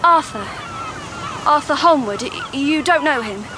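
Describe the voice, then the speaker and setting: An actress playing a romantic, open-hearted young Victorian gentlewoman in an audio drama. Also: high-pitched, lively intonation, a little slow, noisy background. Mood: elated, in love.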